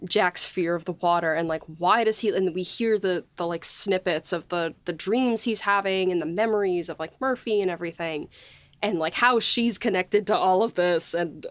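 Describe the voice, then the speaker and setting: A woman hosting a casual behind-the-scenes podcast chat, speaking effusively and quick to laugh. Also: on the low side, no room sound. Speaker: podcast host and audio drama writer.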